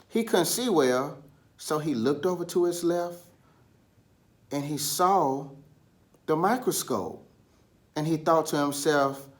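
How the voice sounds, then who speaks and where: A man telling a children's story in an animated style, with dramatic pauses and drawn-out, emphatic words. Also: a little high. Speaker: storybook reader.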